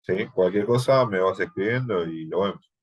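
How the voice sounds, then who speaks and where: A man's voice: deep voice, moderate pace, breathy edge, muffled recording. A man with an Argentine accent teaching an online thesis workshop, talking in a hesitant way with many fillers. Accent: Argentine Spanish. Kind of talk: online class, thesis advising. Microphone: video call.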